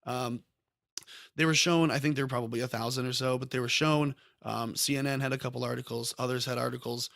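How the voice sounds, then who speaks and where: Male host, mid-pitched, meandering political commentary in a dead-dry room. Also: steady tone, fairly quick, gravelly, fairly bright tone, dry recording. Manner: rambling, frequent ums and self-corrections. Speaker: podcast host.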